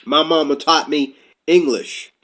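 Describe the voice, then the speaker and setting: A man with a notably high voice talks through a loose, rambling monologue. He works from notes but keeps drifting off track, in the easy manner of a casual commentator. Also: fairly even intonation, a little slow, fairly bright tone. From United States.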